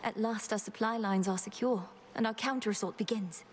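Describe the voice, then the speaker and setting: A woman's voice: medium pitch, a little fast, noisy background, lightly acted. A woman playing a dutiful young witch princess in a video game, formal, polite and resolute. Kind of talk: scripted video game dialogue.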